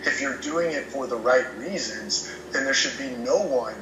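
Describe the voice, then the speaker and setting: A man's voice: mid-range voice, normal speed, background noise. Earnest motivational man in a roomy space. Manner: earnest, motivational, repeats words as he restarts. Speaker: podcast guest. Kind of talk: casual chat.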